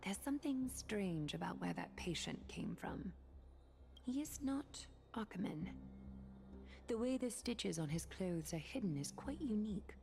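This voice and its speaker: A woman voicing a grave, composed noblewoman leader in a game dub, speaking formally and with measured command. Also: mid-range voice, expressive, gravelly, mellow. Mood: composed, concerned. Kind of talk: English game dub voice acting. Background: music.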